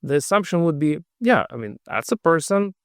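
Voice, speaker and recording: A middle-aged man from Russia with a Russian accent, speaking as a podcast guest in a measured, thoughtful way. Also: a little high, very animated, no room sound, clean studio sound. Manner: measured, thoughtful, with frequent restarts. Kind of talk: casual interview conversation.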